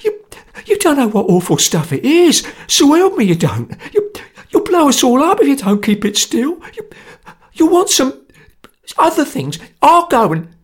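A narrator voicing a terrified young conspirator who stammers and squeaks in panic, in a storybook reading. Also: huge pitch swings, a little slow, full character voice. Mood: panicked. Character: terrified young Cockney conspirator, shrill and shaky.

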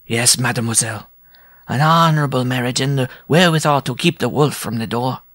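Storybook dwarf, male performer: small, lovesick and irascible, shrill high-set voice, grandiose delivery. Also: fairly quick, a bit bright, no room sound, clean studio sound, close to an everyday voice. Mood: proud, earnest. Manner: grandiose, theatrical, florid. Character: proud, irascible, lovesick little French circus dwarf. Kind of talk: storybook character voice.

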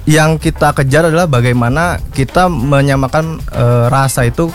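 A man's voice: mid-range voice, moderate pace, faint music behind. A man, a guest business owner chatting casually and hesitantly with many fillers. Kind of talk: casual interview talk.

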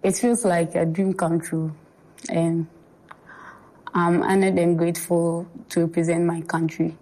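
A woman's voice: on the low side, little pitch movement, measured pace, warm tone, rough recording. A woman footballer giving hesitant, sincere interview answers. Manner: hesitant, sincere, many fillers. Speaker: national team footballer.

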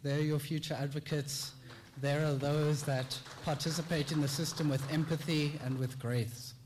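South African male speaker for a lecture hall: measured, formal delivery, repeats lines for effect, faint room hum behind. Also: a little high, little pitch movement, a little mellow. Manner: measured and formal, with rhetorical repetition. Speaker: tax clinic presenter. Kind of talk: conference presentation.

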